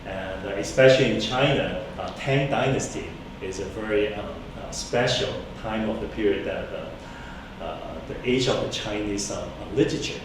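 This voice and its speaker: A man from Hong Kong whose first language is Cantonese introduces himself at a reading, speaking politely and haltingly with many fillers. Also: lowish voice. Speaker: engineer and songwriter reading poems. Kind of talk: event introduction. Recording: distant, echoey, noisy room recording.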